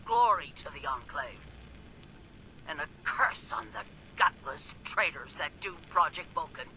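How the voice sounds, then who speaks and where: An actress playing an arrogant, ruthless, zealous scientist in a video game audio log, with theatrical, deliberate enunciation. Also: female voice, sits low, lively intonation, bright, forceful tone, slight background noise, full character voice, low-quality recording. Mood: defiant, indignant.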